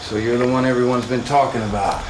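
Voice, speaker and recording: An actor playing a cocky, brotherly insurgent officer giving a casual, streetwise mission briefing in a video game. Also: male voice, medium pitch, steady tone, quick pace, background noise, lightly acted. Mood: cocky, sizing up. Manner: streetwise, informal, wry.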